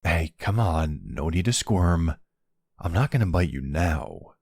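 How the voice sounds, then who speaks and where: A flirty male vampire, acted by a man for an intimate ASMR roleplay, smug and sardonic. The voice is deep and gravelly, with lively, swooping intonation that teases and seduces. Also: unhurried, dry recording, clean studio sound, full character voice. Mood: seductive teasing. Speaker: audio roleplay voice actor.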